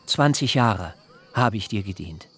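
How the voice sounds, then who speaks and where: Ein Schauspieler spielt in einem literarischen Hörspiel einen alternden, beharrlichen Hirten, der getragen und in altertümlichen Wendungen spricht. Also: male voice, fairly low pitch, lively intonation, fairly bright tone, husky, exaggerated voice. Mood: reproachful, proud. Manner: measured, solemn, archaic phrasing. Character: aging herdsman, long-serving nephew, deliberate and insistent. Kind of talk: literary radio drama acting.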